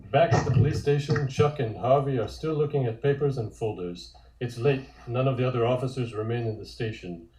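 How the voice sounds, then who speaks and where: Male narrator, soft-edged and relaxed, reading stage directions, echoey room. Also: medium pitch, brisk, hardly any pauses.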